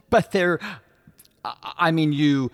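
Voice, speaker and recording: An actor in a radio drama playing an eager, polite would-be impresario with an earnest, hesitant, deferential manner. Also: male voice, fairly high pitch, takes it slowly. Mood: astonished.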